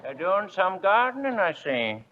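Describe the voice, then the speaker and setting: A man's voice: high voice, sing-song, a little slow, pushed and bright, heavily acted. A man playing a folksy, shameless rural American neighbor in an old-time radio comedy, drawling and hemming and hawing. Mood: neighborly. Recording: dull, lo-fi old radio recording.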